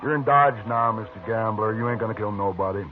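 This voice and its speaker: A man's voice: on the low side, fairly quick, lightly acted. A man playing a cocky, suspicious cowboy gambler with a taunting folksy drawl in a 1950s radio Western. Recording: tinny old radio recording with music behind.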